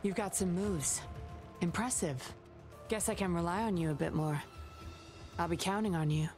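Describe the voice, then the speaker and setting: An actress playing a confident, teasing undercover investigator in a video game, sly and self-assured. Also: female voice, lowish voice, normal speed, lightly acted. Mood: sly, composed. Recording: game music behind the voice.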